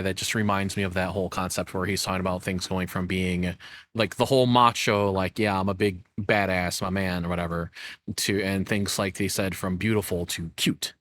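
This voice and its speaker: Low-pitched man, casual rambling commentary. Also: steady tone, normal speed, fairly bright tone, no room sound. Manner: rambling, informal. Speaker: reaction video host.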